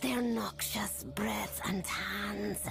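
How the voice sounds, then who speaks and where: A woman voicing an eerie, grave fae forest spirit in a fantasy game, speaking in breathy whispers and solemn, halting phrases. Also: mid-range voice, steady tone, over music, full character voice. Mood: ominous, solemn.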